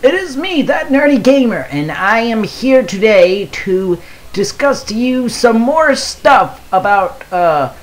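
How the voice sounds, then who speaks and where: Casual gaming vlogger, mid-range voice with very lively intonation, reads aloud haltingly with stumbles. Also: medium pitch. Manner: halting, stumbles over words while reading. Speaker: gaming video creator. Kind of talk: casual commentary, reading aloud a post.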